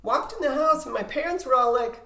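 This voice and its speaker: Middle aged lady telling stories on a podcast, highish loud projecting voice, animated, kinda echoey room. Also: brisk. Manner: animated, laughing, acting out remembered conversations. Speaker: podcast guest, missionary. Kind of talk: casual personal storytelling.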